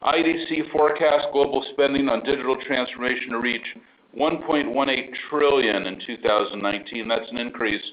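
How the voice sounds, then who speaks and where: A man's voice: medium pitch, muffled recording. A man, a company chief executive, speaking on an earnings call in an upbeat, enthusiastic way, with frequent fillers. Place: remote call line from abroad.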